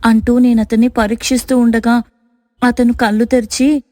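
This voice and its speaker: Earnest young wife, acted by a woman, even-toned. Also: fairly high pitch, normal speed, no echo, clean studio sound, close to an everyday voice. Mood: confessing. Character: reserved young wife with a secret curse.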